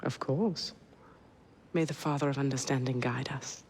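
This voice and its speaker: A woman's voice: extremely low pitch, steady tone, normal speed, darkish tone, a little hiss or hum. A woman playing a poised, ruthless Victorian businesswoman in a video game, genteel and cool. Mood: smooth, confident. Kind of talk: dramatic video game acting.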